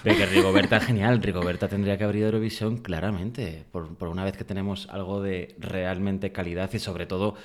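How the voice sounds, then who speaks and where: A man's voice: sits low, steady tone, quick pace, few pauses. A man guest on a podcast, opinionated and blunt, cracking crude jokes between hesitant fillers. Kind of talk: casual chat.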